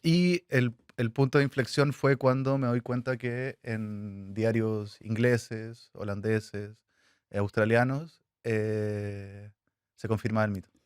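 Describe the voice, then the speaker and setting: Halting man, low smooth voice. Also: fairly even intonation, no echo, clean studio sound. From Chile. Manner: halting, many fillers and restarts. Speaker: filmmaker guest. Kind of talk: interview answers.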